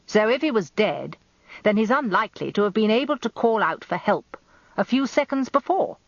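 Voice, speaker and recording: A woman acts out a blustering old British defence barrister for a radio story. He is gravelly, combative and theatrically courteous, heard close on a slightly dull recording. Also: mid-range voice, very animated, moderate pace, no echo, voice pushed up. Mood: combative, probing. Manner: growling, elaborately courteous, theatrical cross-examiner.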